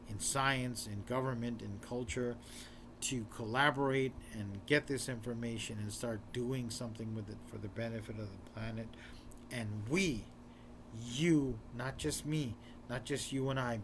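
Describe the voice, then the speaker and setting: A hoarse man, an author speaking to his viewers in an earnest, repetitive, urging way. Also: medium pitch, fairly bright tone, a little hiss or hum. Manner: urgent, repetitive, exhorting the viewer. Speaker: author presenting his book in a video series. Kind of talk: earnest direct-to-camera talk.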